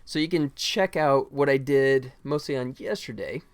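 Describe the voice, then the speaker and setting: Male game-dev streamer: clear voice in a close, dry recording, casual, self-deprecating, halting chat. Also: medium pitch, no room sound. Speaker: game developer live streamer. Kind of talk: casual livestream chat to viewers.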